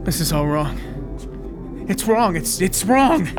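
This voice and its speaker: An actor playing a stubborn, agitated, paranoid conspiracy theorist in an audio drama, insistent and intense. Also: male voice, high voice, animated, slow, over music.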